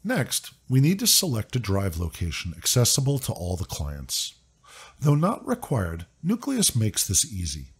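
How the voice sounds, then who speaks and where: A man narrating a scripted software walkthrough in a clear, upbeat, step-by-step instructive way. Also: fairly low pitch, huge pitch swings, a little slow. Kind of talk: scripted screen-recording walkthrough.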